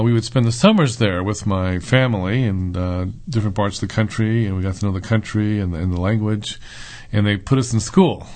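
A middle-aged man, a seminary professor, talks as a guest in a relaxed interview, pausing often to think. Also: on the low side. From United States. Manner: thoughtful, with frequent "uh" and "um" pauses.

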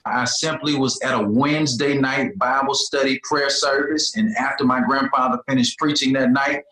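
Pastor with a bright, echoey voice. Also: male voice, mid-range voice, fairly even intonation, normal speed. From Birmingham, Alabama. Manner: earnest, repetitive preacher's cadence. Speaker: pastor, guest. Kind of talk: storytelling interview answers. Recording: echoey room.

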